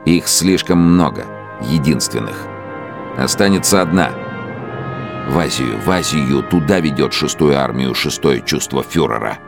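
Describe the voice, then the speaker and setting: Very deep, gravelly brooding dictator. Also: male voice, expressive, a little slow, music in the background, exaggerated voice. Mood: grandiose, fanatical. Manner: brooding monologue swelling into declamation. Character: embittered megalomaniac dictator brooding over old grievances. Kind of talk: radio drama interior monologue.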